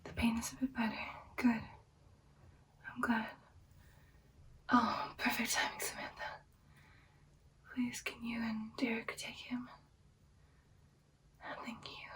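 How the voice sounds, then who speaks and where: A woman playing an ancient, caring female vampire in a whispered ASMR roleplay, speaking in soft, breathy, hesitant phrases. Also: a little high, flat delivery, slow, long pauses, far from the mic. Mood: weary, reassuring.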